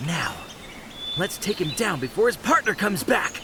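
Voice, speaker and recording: A man's voice: high-pitched, sing-song, unhurried, vocal fry, noisy background, exaggerated voice. A man playing an eager, scheming martial artist sidekick in a video game cutscene.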